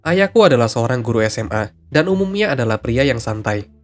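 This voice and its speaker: A man's voice: medium pitch, expressive, fairly quick. A man reading out scripted stories as a narrator, with music behind him.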